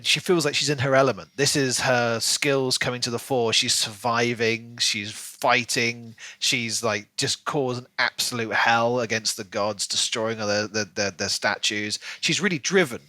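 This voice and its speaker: A man's voice: mid-range voice, sharp tone, dry recording. A man co-hosting a podcast, discussing a book casually in long, rambling runs. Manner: rambling, talks in long runs with many "you know"s. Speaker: podcast co-host.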